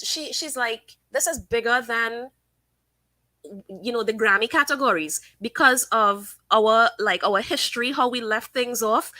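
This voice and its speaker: Chatty bright-voiced woman livestreamer. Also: fairly high pitch, no room sound, rough recording. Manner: chatty, opinionated, thinks aloud with fillers. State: sniffly from sinus trouble. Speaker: livestream host. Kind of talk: casual live commentary reading chat.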